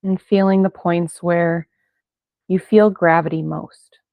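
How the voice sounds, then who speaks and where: A thin, phone-like recording of a woman guiding a meditation. Her voice is slightly low and stays very even. She speaks gently in unhurried phrases, leaving long pauses. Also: creaky, dry recording. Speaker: meditation guide. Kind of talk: guided meditation. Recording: band-limited, phone-like sound, dry.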